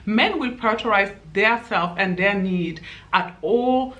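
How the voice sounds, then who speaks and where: A woman's voice: medium pitch, slow, muffled recording. Impassioned Nigerian woman vlogger. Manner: impassioned, pleading, animated. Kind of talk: casual commentary to camera.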